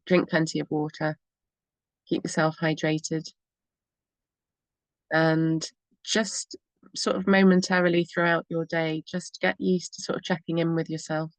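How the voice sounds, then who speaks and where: A woman talks in a low, encouraging voice as a radio host, rambling along and often laughing. She is recorded dry and close to the mic. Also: no room sound. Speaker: radio show host and EFT therapist. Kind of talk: casual spoken advice on a talk radio show.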